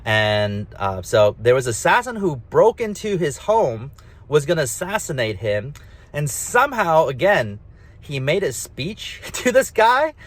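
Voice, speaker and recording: A man's voice: fairly high pitch, sing-song, measured pace. A man hosting a solo talk, rambling casually with a nasal, slightly mumbly voice, lots of fillers and emphatic asides. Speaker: host.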